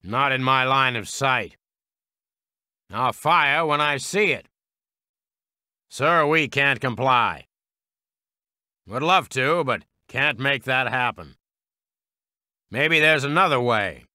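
An actor playing a gung-ho American World War Two heavy weapons soldier in a strategy game, with a clipped military delivery. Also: male voice, mid-range voice, expressive, takes it slowly, long pauses, sharp tone, clear, resonant tone, no room sound, clean studio sound, heavily acted. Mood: firm, reluctant.

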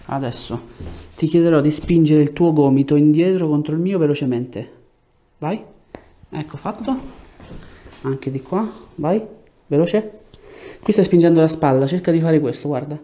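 A man's voice: a little high, normal speed, pauses often, dark tone. A man, a chiropractor giving short hands-on instructions and explanations to a patient in a treatment room. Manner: short directive phrases. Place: small clinic treatment room indoors. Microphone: lapel mic.

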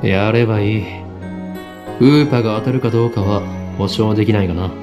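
A man's voice: fairly low pitch, brisk, highs cut off, close to an everyday voice. Man acting pompous, paranoid young self-styled mad scientist. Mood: stern, haughty. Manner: theatrical, pompous. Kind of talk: visual novel voice acting. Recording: music behind the voice.

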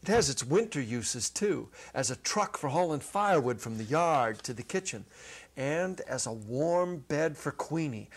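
Male narrator, middle-aged, mid-pitched voice, measured and expressive literary reading. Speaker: narrator, dramatic reader. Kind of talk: dramatic reading of a literary short story. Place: indoor studio set. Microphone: lapel.

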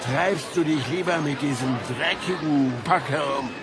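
A man's voice: on the high side, normal speed, natural voice. A man playing a stern, domineering captain of the guard, haughty and scolding, in a German audio drama. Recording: noisy outdoor crowd ambience.

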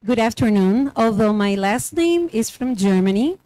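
A woman's voice: a little high, animated, moderate pace, dark tone, no echo, low-quality recording. Woman introducing students at a podium. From Puerto Rico. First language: Spanish. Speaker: professor and design school director. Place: indoor university event stage. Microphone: podium microphone on a stand.

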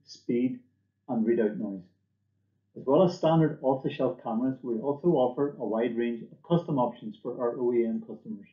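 A middle-aged man giving a scripted product presentation as a company presenter, recorded indoors at a desk. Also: medium pitch. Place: indoor room, seen on webcam. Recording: echoey room, dull muffled audio.

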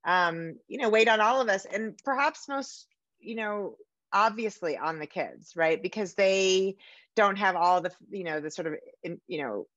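A middle-aged woman hosting a conversational interview over a video call, halting as she searches for her words. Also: mid-range voice, fairly bright tone, dry recording. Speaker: interviewer, podcast host. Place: home room, on a video call. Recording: slightly dull video-call audio.

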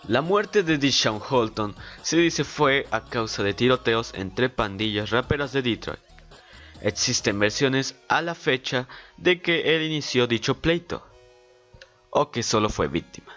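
A man's voice: medium pitch, lively intonation, measured pace, a bit bright. A man co-narrating a scripted music trivia video as a guest.

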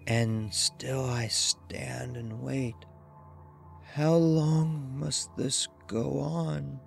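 Man voicing a slow, despairing dead man. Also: mid-range voice, level tone, creaky, soft background music, pitched above the performer's own voice, exaggerated voice. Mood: dreary, hopeless. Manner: slow, halting, hopeless delivery. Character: dead man entranced, dreary, despairing, hearing terror approach.

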